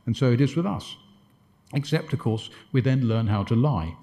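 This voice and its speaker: A man's voice: medium pitch, animated, normal speed, mellow. A man answering questions after his lecture, discursive, with stumbling restarts and dry wit. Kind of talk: conference Q&A answers.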